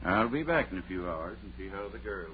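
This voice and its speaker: A stern older country doctor in a vintage radio drama. He questions with folksy, skeptical insistence in a deep voice with wide swings of emphasis. The recording is thin and tinny with faint hum. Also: expressive, brisk. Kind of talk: 1940s radio horror acting.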